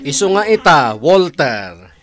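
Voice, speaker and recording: A man's voice: fairly high pitch, sing-song, slow, fairly bright tone, heavily acted. A man with an Ilocano accent playing a blunt, teasing and reproachful co-worker in a Philippine radio drama. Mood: admonishing. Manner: emphatic, admonishing, tag questions.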